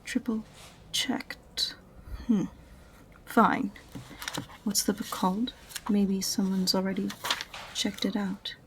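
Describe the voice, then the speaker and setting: A woman's voice: medium pitch, level tone, takes it slowly, pauses often, a little hiss or hum, lightly acted. A woman playing an aloof, sarcastic witch librarian in a fantasy audio roleplay, prim and condescending in a dry way. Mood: prim, grudging. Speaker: voice actor in amateur audio roleplay.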